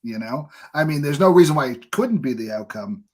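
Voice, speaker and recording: American man hosting a casual interview, mid-pitched. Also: expressive, brisk, breathy edge. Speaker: podcast host.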